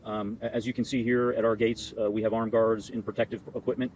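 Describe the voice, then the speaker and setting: An American man, an army general, giving formal, halting answers with repeated words at a press conference. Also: lowish voice, level tone, a little mellow, a little hiss or hum, low-quality recording.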